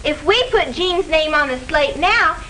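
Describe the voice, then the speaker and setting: An actress playing a pushy, gossipy high school girl in an old educational film, speaking in an earnest, insistent way. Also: expressive, a little slow, sharp tone, heavily acted. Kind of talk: 1950s educational film acting. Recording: noisy 1950s film soundtrack.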